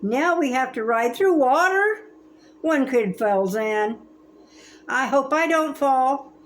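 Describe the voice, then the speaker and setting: A woman in her seventies reading a children's story aloud in a gentle, expressive, grandmotherly way. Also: high-pitched, slow. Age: 70s. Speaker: storybook reader.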